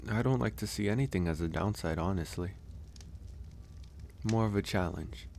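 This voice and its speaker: A man voicing a gentle young werewolf in a soft, intimate ASMR roleplay, with an unhurried, hesitant and reassuring manner. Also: low-pitched, gravelly, a little hiss or hum. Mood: thoughtful, relaxed. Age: young adult. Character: gentle young male werewolf, shy mountain recluse.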